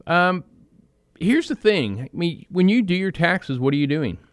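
A man hosting a financial advice radio show, explaining in a conversational way with quick asides and restarts. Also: sits high, sing-song, dry recording, clean studio sound.

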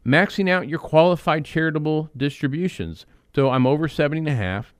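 A man hosting a financial advice radio show, explaining in a conversational way with quick asides and restarts. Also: medium pitch, no echo.

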